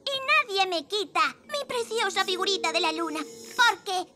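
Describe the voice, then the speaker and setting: Greedy cartoon villain girl, theatrical, taunting, piercingly high, sharp. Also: moderate pace. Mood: possessive, gloating. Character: gloating, greedy little moon villainess girl. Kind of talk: children's cartoon dub.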